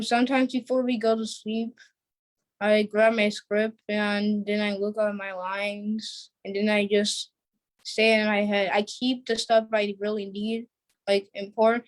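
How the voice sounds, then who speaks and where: A female interview guest giving simple, halting conversational answers. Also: medium pitch. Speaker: play cast member, guest.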